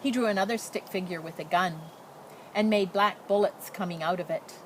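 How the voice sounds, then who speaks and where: A woman reading a children's picture book aloud as a storyteller in expressive narration. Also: mid-range voice, moderate pace, poor audio. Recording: noticeable background noise.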